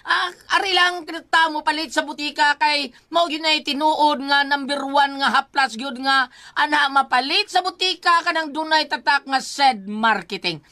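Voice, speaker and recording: A woman's voice: sits high, sharp tone. A woman with a Cebuano accent hosting a radio program, chattily giving effusive thanks, greetings and product plugs.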